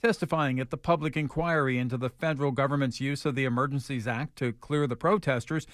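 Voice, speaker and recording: A man's voice: mid-range voice, steady tone, fast, a bit bright, no echo, studio quality. A man reading scripted news as a radio anchor. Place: radio studio. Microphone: close studio microphone.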